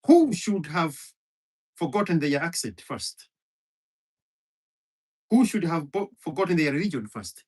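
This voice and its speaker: A man's voice: a little high, big pitch swings, measured pace, long pauses, a little mellow, husky. A man from Kenya, a Kikuyu speaker, delivering a heated, profane monologue full of hammering repetition. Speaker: commentator. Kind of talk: impassioned monologue.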